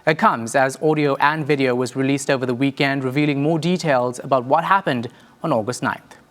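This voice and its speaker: A man's voice: mid-range voice, steady tone, brisk, fairly bright tone. A man working as a correspondent, narrating a scripted news report.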